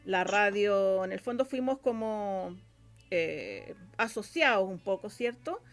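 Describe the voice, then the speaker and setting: A woman's voice: medium pitch, lively intonation, slow, soft background music. A woman with a Chilean accent speaking as a guest in a casual interview, chatty and rambling with plenty of filler phrases. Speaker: interview guest, radio drama scriptwriter.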